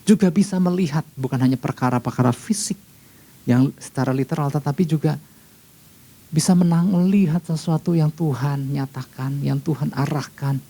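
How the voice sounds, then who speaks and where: A man's voice: a little high, moderate pace, mellow. A man preaching a conversational sermon as a pastor, explaining step by step and repeating key phrases. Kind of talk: sermon, conversational teaching with scripture reading.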